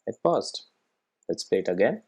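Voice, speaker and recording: A software tutorial presenter explaining things step by step in an informal way, often checking in with the listener. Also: deep voice, very animated, moderate pace, loud music behind the voice.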